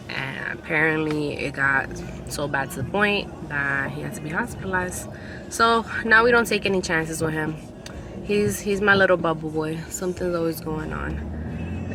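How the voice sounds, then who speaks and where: A woman in her twenties, chatting casually to camera while driving, rambling and questioning herself. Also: deep voice. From New York City. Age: 20s. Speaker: vlogger. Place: inside a car in city traffic. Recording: loud road noise behind.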